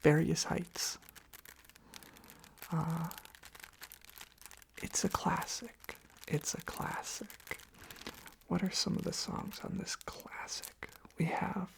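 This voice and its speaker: A soft-spoken ASMR host rambles sleepily in a half-whisper. The voice sits at a middling pitch and is heavily creaky, crackling into fry. Phrases get repeated and trail away, with crinkling and tapping objects close by.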